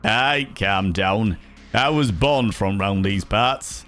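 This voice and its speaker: A man's voice: lowish voice, takes it slowly, a bit bright, soft background music. A man with a Yorkshire accent, chatting casually with his live-stream audience, cheeky and teasing, swearing freely. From Yorkshire, England.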